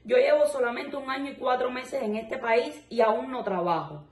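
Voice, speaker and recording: A woman's voice: sits high, moderate pace, echoey room. A woman in her twenties from Cuba, speaking firmly and earnestly in an on-camera interview indoors. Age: 20s. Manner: firm, earnest. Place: bright indoor room.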